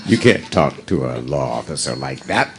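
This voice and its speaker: A man playing an earnest, dim-witted police detective sidekick in a live radio drama parody, speaking slowly and emphatically. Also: very deep voice, very animated, creaky, a bit bright, exaggerated voice. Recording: noisy live shipboard stage performance.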